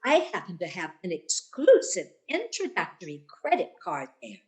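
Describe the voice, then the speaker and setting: A woman's voice: fairly low pitch, wildly swooping pitch, moderate pace, darkish tone. A woman playing a motherly older landlady in a radio sitcom, wheedling and guilt-tripping with martyred self-effacement.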